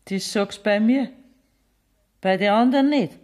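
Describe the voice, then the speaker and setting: A woman's voice: mid-range voice, unhurried, a little mellow, close to an everyday voice. An actress playing a bitter peasant mother in a radio play, with a Bavarian accent and a blunt, sardonic, accusing manner. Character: bitter, mocking peasant mother of a condemned outlaw.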